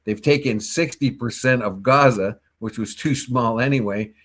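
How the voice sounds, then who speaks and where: A man's voice: mid-range voice, moderate pace, fairly bright tone. A man co-hosting a local morning news talk show, talking through stories in a rambling, opinionated way with many restarts. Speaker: co-host.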